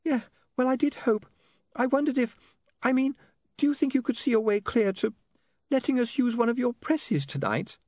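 A man's voice: extremely high pitch, moderate pace, a little mellow, no echo, studio quality, pitched above the performer's own voice. A man voicing a prim, dreamy young woman reporter who can turn ferocious, in an audiobook. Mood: hesitant pleading.

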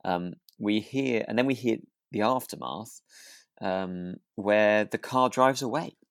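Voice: medium pitch, takes it slowly, gravelly, no echo, studio quality. A podcast co-host in casual chat, recapping a drama and hesitantly piecing the story together aloud.

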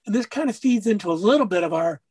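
Raspy guy musician chatting, dry close mic, rambles a lot. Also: sits high, animated, quick pace, a little mellow, no room sound. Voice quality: raspy speaking voice. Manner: rambling storyteller, many fillers. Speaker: musician guest. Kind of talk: casual interview talk.

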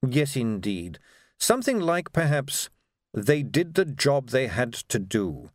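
A man's voice: medium pitch, sing-song, takes it slowly, no echo. Man acting a storybook nobleman ruler, unruffled, formal, understated, dry close sound, lilting range. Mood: smooth, musing. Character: suave, unruffled aristocratic male city ruler, drily amused. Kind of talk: dramatic audiobook character dialogue.

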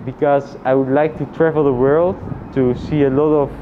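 A man with an average-pitched voice talks through his thoughts in a casual vlog. His delivery is reflective and halting, often repeating phrases as he finds his way. The sound is a little dull, with faint traffic noise behind him. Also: fairly even intonation. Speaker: vlogger.

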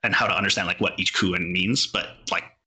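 A man from Canada, a native Portuguese speaker, chatting casually as a podcast guest in a rambling way, full of filler words and quick laughs. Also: sits low, fairly even intonation, pushed and bright, slightly rough. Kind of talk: casual interview chat.

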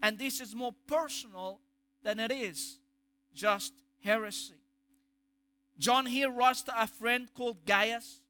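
A man's voice: extremely high pitch, fairly even intonation, takes it slowly, bright, forceful tone. A man with an Egyptian accent preaching a sermon, emphatic, asking rhetorical questions and restarting phrases. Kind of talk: sermon on scripture.

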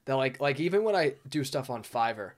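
A man's voice: mid-range voice, expressive, fairly quick. A man in a casual podcast conversation, telling anecdotes and acting out phone exchanges as he goes.

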